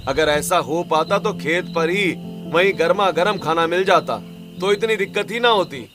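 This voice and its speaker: A man's voice: a little high, natural voice. A man voicing a weary, hungry young farmer brother who complains earnestly in a cartoon story. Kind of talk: Hindi cartoon story dialogue.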